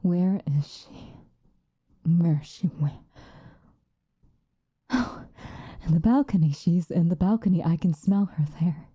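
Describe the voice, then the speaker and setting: A woman playing a centuries-old female vampire, possessive and vengeful, in a soft, breathy, intense ASMR roleplay. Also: on the low side, dry recording, studio quality. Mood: vengeful, obsessive. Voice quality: soft, breathy, often whispered. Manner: intense, dramatic, slow with long pauses.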